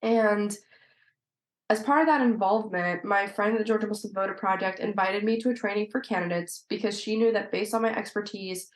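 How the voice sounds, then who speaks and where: Female guest, legislator, conversational and explanatory delivery, medium pitch, echoey roomy recording. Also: steady tone, fairly quick. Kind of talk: conversational interview answers.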